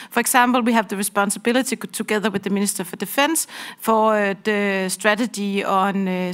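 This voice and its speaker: A middle-aged woman from Denmark introducing herself on a government panel, measured with short fillers. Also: medium pitch. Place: conference panel stage indoors.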